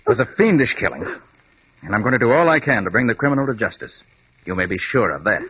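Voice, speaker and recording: An actor playing a confident, shrewd master detective in an old radio drama, speaking with brisk authority. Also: male voice, mid-range voice, very animated, lightly acted. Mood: grave, calm. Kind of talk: 1940s-50s radio drama acting. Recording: muffled, noisy vintage radio recording.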